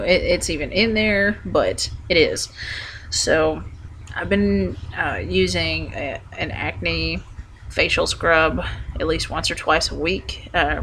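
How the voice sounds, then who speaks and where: Slow, hesitant young man with a bright voice. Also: a little hiss or hum. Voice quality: voice wobbles between deeper and higher. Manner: hesitant, rambling, full of ums and restarts. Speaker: vlogger. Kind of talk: casual video update.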